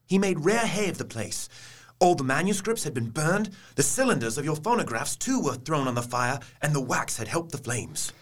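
Guy doing a serious gentleman in a radio play, earnest, voice moves up and down a lot. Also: on the high side, big pitch swings, moderate pace. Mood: urgent, shaken. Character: grave young gentleman reporting back from a search. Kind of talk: dramatic audio drama acting.

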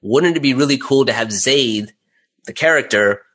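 A man's voice: medium pitch, normal speed, a bit bright, slightly rough. A middle-aged man speaking casually as an interview guest, candid and self-deprecating about his own work as a creator. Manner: candid, self-deprecating, chuckles while talking.

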